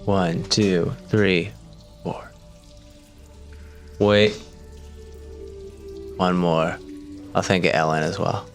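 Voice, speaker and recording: A man playing a tabletop game, talking casually and haltingly as he decides his moves. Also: low voice, steady tone. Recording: soft music and rain behind voice.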